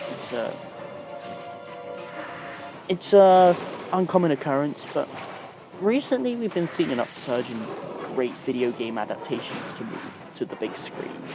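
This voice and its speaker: Man, medium pitch, gaming video host, casual commentary, halting, repeats words, trails off, clear background music. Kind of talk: casual top-five list commentary, semi-improvised.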